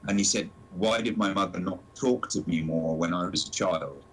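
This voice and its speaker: A man's voice: deep voice, moderate pace, a little hiss or hum. A man with a British accent chatting casually as a co-host, in a wry, wordy way. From Britain. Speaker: co-host of a talk show. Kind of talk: casual chat.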